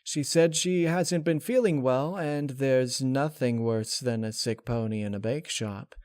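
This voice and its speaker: A man's voice: mid-range voice, unhurried, a little mellow, clear, resonant tone, natural voice. A man voicing a matter-of-fact grown female pony shopkeeper in a story reading, plain and helpful.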